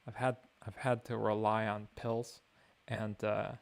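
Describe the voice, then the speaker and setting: A young man streaming alone, thinking out loud in a wry, mock-grand way. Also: fairly low pitch, flat delivery, measured pace, gravelly, smooth. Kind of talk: rambling livestream monologue.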